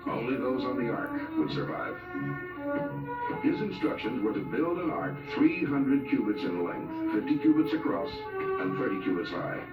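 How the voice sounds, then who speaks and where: Guy narrating an old 70s film documentary, pitch moves around a lot, echoey, big music behind him. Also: very animated, normal speed, lo-fi sound. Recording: roomy, distant 1970s film soundtrack. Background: loud music.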